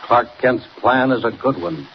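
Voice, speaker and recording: A muffled, noisy 1940s radio recording of a male announcer. His medium-pitched voice narrates a serial with melodrama and suspense. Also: moderate pace, creaky.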